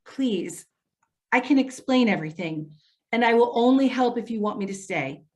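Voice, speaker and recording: A woman's voice: a little high, expressive, normal speed, darkish tone, close to an everyday voice. A woman playing an earnest, apologetic social worker in a staged play reading. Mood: pleading.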